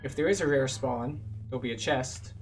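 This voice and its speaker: A man's voice: medium pitch, moderate pace. A man giving casual, matter-of-fact playthrough commentary as a tour guide, explaining things as he goes. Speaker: video game tour guide. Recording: distant mic, loud game music.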